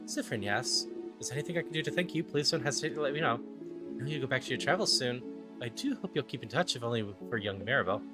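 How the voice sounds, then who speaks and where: A man voicing a gentle, grave mystical leader from a video game, pleading and apologetic in manner. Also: medium pitch, lively intonation, fast talker, natural voice. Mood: grateful, polite. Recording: game music under the voice.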